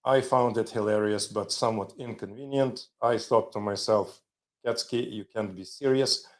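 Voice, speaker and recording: Guy hosting a live stream, lowish voice, chatty, goes off on tangents. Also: moderate pace. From Ukraine. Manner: rambling, chatty, joking asides. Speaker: live show host. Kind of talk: casual live-stream demo.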